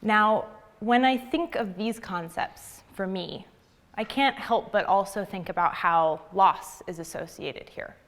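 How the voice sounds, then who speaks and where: A young adult woman from the United States giving a prepared public talk in an earnest, measured way with deliberate pauses. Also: mid-range voice, fairly bright tone. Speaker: graduate student speaker.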